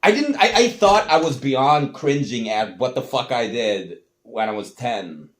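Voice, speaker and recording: A man's voice: medium pitch, a little slow. A man, talking casually and swearing freely as he mocks himself. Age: adult. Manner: animated, profane, self-mocking. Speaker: host. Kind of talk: casual commentary reacting to old tapes.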